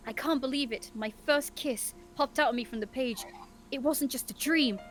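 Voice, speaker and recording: A woman reading aloud as a shy, flustered teenage schoolgirl in a visual novel, expressive and earnest. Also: sits high, moderate pace, faint music behind, natural voice.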